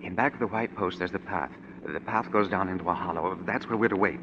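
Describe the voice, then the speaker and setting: An actor playing a nervous, formal gentleman client in a 1940s radio drama. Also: low-pitched, fairly quick, noisy background, natural voice. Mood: tense. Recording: dull old radio broadcast.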